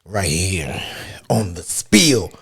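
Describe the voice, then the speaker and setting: Male podcast host, slow husky drawl, animated intonation, casual hype. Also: deep voice. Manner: laid-back, hype-man interjections. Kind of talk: casual chat.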